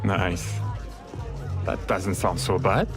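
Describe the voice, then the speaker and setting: A man's voice: deep voice, slow pace, over music, crowd noise. An actor playing a sociable, laid-back man in a video game cutscene, talking in casual, clipped phrases. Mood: laid-back, friendly.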